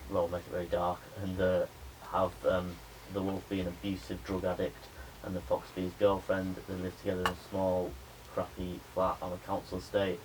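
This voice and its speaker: A young adult man, a media student giving a spoken pitch presentation to a class. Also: monotone, normal speed, few pauses. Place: indoor room with a small audience. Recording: noisy room recording.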